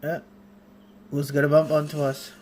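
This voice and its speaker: A woman's voice: deep voice, little pitch movement, moderate pace, music in the background. A woman game streamer giving casual live commentary and reading along.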